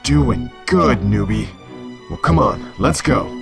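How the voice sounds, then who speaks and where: A man playing a gruff space crew captain in an audio drama, with a gravelly, commanding voice. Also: mid-range voice, expressive, slow, faint music behind, heavily acted. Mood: urgent, commanding.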